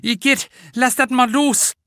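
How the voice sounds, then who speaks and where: A man's voice: extremely high pitch, fairly even intonation, measured pace, pushed and bright, dry recording, studio quality, pitched above the performer's own voice, full character voice. A man voicing a worried, fretful woman snack-bar owner in an audiobook. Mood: anxious. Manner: fretful, reproachful.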